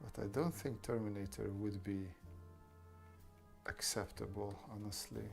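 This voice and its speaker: A man's voice: on the low side, fairly even intonation, a little slow, creaky, darkish tone, faint music behind. A man hosting a casual art livestream, chatting with viewers and talking them through drawing steps. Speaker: artist streamer answering chat.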